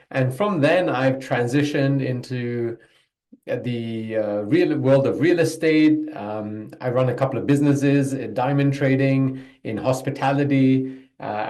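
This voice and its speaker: A man's voice: mid-range voice, mellow. Man, from Belgium, interview guest, loose reflective storytelling, echoey room. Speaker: guest, business coach. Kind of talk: podcast interview.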